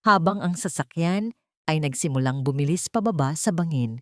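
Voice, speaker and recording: A woman with a fairly low voice narrates a dramatic story with tension in her reading. The recording is close and dry, with no room sound. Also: darkish tone, no room sound. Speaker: audiobook narrator.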